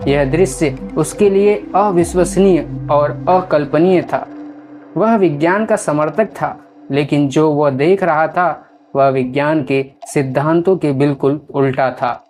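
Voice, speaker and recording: Woman narrating a scary story, really slow, music underneath. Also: deep voice, darkish tone. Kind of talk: scripted horror story narration.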